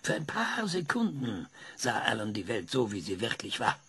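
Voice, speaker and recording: A man's voice: medium pitch, huge pitch swings, normal speed, fairly bright tone, slightly rough, exaggerated voice. An actor playing an old male professor, proud and frail, who insists on his theory and stammers when he weakens. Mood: explaining. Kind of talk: radio drama acting.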